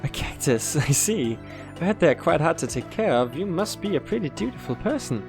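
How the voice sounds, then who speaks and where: A woman plays an encouraging interviewer for an elite school. The delivery is formal and kindly, swinging expressively up and down. Soft music runs behind the voice. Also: sits low, normal speed. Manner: formal but reassuring, expressive. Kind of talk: audio drama acting.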